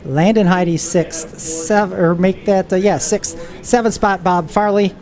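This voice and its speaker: A woman's voice: fairly low pitch, steady tone, unhurried. A woman giving live stock car race commentary as a trackside announcer. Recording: loud vehicle noise behind. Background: race cars and trackside noise.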